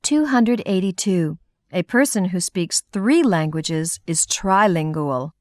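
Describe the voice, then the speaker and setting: A woman with an American accent reading numbered practice sentences for an English lesson, clear and measured. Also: fairly low pitch, expressive, darkish tone, no room sound, clean studio sound.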